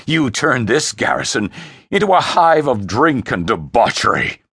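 Domineering imperial father for a fantasy story, played by a male narrator: gravelly, scornful, slow and heavy. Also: medium pitch, wildly swooping pitch, fairly bright tone, no echo, studio quality, pitched above the performer's own voice, exaggerated voice. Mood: cold disgust. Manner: scornful, commanding, snorting disdain. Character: towering thunderous emperor, a contemptuous domineering father. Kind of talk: dramatic fantasy audiobook character voice.

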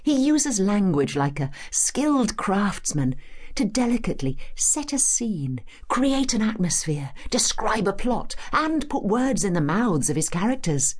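Animated British woman tour guide. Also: medium pitch. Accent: British English. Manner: expressive, clear explanatory delivery, teacherly. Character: knowledgeable, encouraging female tour guide to Shakespeare's London. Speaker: guide and narrator. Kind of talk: scripted dramatised educational audio guide.

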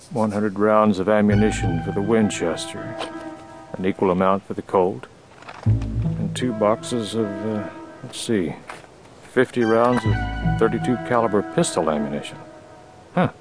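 Laconic lone cowboy over music. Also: male voice, fairly low pitch, a little slow, long pauses. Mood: musing, calm. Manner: laconic, musing to himself. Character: lone cowboy, observant, plain-spoken, calm traveler. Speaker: actor in an audiobook dramatization. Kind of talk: western audio drama acting.

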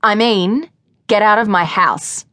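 A woman's voice: medium pitch, sing-song, measured pace, dry recording, clean studio sound, voice pushed up. A woman voicing a furious young woman whose friend has betrayed her, sarcastic and cutting. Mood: cold. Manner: sarcastic, then cold and cutting.

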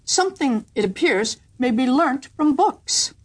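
A woman's voice: sits high, animated, a little slow, voice pushed up. A woman voicing a proud, commanding emperor in a storybook reading, declaiming imperiously.

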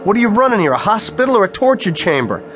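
A man's voice: high voice, very animated, fast, a bit bright, faint music behind. An actor playing a volatile working-class tough guy in a radio drama, brash and sarcastic with slangy street talk. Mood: angry, impatient.

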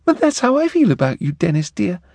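A male reader voicing a playful, teasing young woman in an audiobook, with a coy, lilting falsetto. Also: huge pitch swings, fairly quick, a little mellow, no echo, full character voice. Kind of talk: audiobook character voice.

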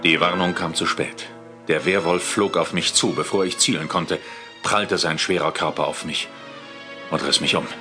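An actor playing a sceptical, matter-of-fact police inspector who hunts monsters in a German audio drama. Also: male voice, deep voice, moderate pace, over music, lightly acted. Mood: dramatic narration. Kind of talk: German audio drama acting and first-person narration.

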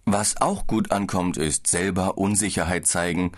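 A man in a scripted audiobook dialogue, calmly explaining business manners abroad. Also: low-pitched, measured pace. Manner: calm, explaining, slightly tired. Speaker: business traveller in a language-course dialogue.

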